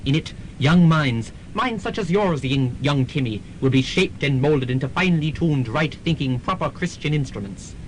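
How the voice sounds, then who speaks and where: A man's voice: on the high side, expressive, normal speed, hardly any pauses, lightly acted. Man as a grandiose, swooping pious priest. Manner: preachy, florid, repeats himself. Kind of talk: melodramatic radio drama acting. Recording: noisy amateur student radio recording.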